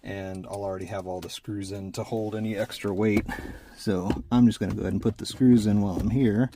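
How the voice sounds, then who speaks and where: Male DIY vlogger, deep and relaxed, casual step-by-step delivery while working, with noise in the recording. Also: fairly quick. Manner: plain-spoken, talking through each step while working. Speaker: vlogger doing a DIY truck install. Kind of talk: casual hands-on tutorial.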